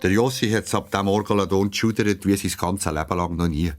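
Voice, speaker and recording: A man's voice: deep voice, fairly quick, over music. A man with a Swiss German accent narrating a scripted story for children.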